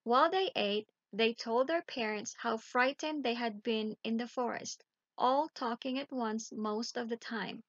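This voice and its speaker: A woman reads a children's tale aloud at a slow, deliberate pace. Her voice sits on the high side. The recording is very dry and a little dull. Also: a bit bright, no echo. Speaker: storyteller. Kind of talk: children's bedtime story read aloud.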